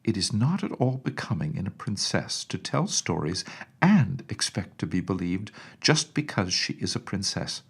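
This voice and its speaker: A skeptical, stern children's nurse from a storybook, put on by a man. She scolds emphatically and brushes things aside. The pitch moves a lot and the voice has a gravelly, husky grain. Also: big pitch swings, normal speed, full character voice. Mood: vexed, scolding. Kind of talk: acted storybook dialogue.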